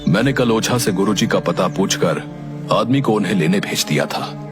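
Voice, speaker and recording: A man playing a worried, earnest head of a village household in a cartoon, speaking quickly and plainly. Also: fairly low pitch, lively intonation, music in the background, close to an everyday voice. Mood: earnest concern.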